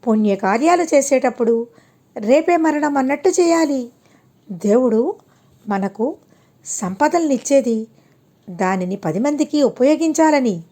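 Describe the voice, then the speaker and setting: A woman's voice: high-pitched, animated, unhurried, long pauses, mellow. A woman voicing a wise, benevolent male hermit sage who gives solemn moral counsel in an audio storybook.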